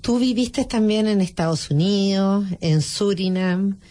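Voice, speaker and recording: A woman's voice: medium pitch, measured pace, mellow. A woman hosting a radio interview, asking questions in a friendly, conversational way. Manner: friendly and conversational, short backchannels. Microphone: radio studio microphone.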